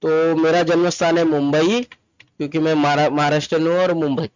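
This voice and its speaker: A man presents an informal tutorial in a somewhat high-pitched voice. He instructs step by step, repeating himself and dropping in English terms. The sound is very dry and a bit dull and lo-fi. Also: flat delivery, fairly quick, smooth, no echo. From Mumbai, India. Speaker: tutorial presenter, travel agent. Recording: dull lo-fi close dry recording. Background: voice-over to screen recording.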